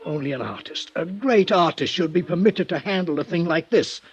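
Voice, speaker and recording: A man's voice: a little high, moderate pace, muffled recording, music in the background, natural voice. An actor playing a proud, fussy male violin maker, indignant and stammering, in a 1950s radio drama. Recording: noisy old radio transcription.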